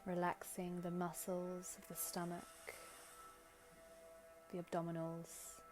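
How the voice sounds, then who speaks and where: A woman leading a guided meditation in gentle, soothing, unhurried short phrases with long pauses. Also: on the low side, monotone. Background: background music.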